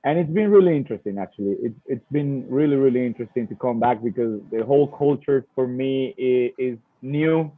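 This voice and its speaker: A man's voice: mid-range voice, a little fast, few pauses, no room sound. A Colombian man in his thirties, a native Spanish speaker, talking informally and presenting his company over an online call. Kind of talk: casual talk and informal presentation. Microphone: video call. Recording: muffled phone-like online call audio.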